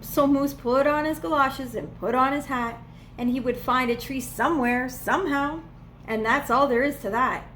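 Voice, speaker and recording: A woman reading a children's storybook aloud as a preschool teacher would, with expressive, playful emphasis. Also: high voice, slight background noise, rough recording. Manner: dramatic, playful emphasis on repeated words.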